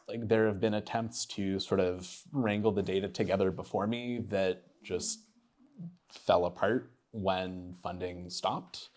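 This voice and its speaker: A man's voice: sits low, darkish tone. A man giving a talk, laying out budget numbers in a matter-of-fact way. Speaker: speaker on transport funding. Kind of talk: presentation talk.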